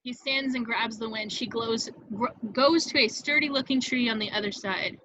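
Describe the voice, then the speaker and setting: Woman narrator, scripted stage directions, higher pitch, very bright voice, vivid dramatic reading, dry slightly muffled recording. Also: moderate pace, no echo. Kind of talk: scripted narration at a table read.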